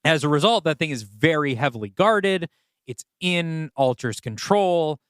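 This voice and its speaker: A man's voice: on the high side, expressive, a little slow, a bit bright, smooth, no room sound, clean studio sound. A man co-hosting a podcast, working through ideas in a casual, conversational way. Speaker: podcast co-host and writer.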